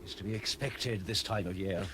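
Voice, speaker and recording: An actor playing a ruthless, greedy tomb robber in a radio drama, cajoling and dismissive. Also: male voice, on the low side, normal speed, fairly bright tone, slight background noise, lightly acted. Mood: cold, coaxing. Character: scheming, persuasive tomb-robbing man, ruthless and greedy.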